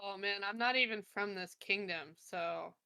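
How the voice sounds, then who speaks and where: A woman's voice: mid-range voice, vocal fry, pushed and bright, clean tone. A woman playing a tabletop role-playing game who jokes playfully while she talks in character. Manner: playful, joking, unhurried. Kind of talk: in-character casual chat.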